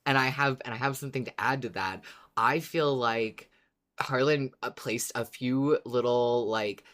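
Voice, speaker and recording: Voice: medium pitch, unhurried, a bit bright. A podcast co-host chatting casually in a conversational, slightly hesitant way. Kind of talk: casual chat on a fan podcast.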